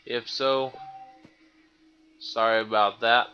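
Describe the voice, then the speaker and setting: A man's voice: medium pitch, steady tone, takes it slowly, clear, resonant tone, highs cut off. A man giving casual, self-deprecating let's play commentary as he games. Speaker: gamer, video host. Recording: voice over loud game music. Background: game music and sounds.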